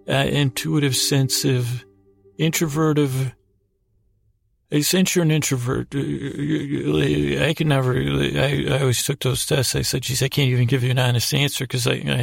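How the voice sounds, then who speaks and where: Very creaky, rambling male podcaster. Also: mid-range voice. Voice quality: creaky, gravelly. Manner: meandering, halting, often trailing off and doubling back; self-deprecating, absurd humour. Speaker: podcast host. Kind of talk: rambling bedtime monologue.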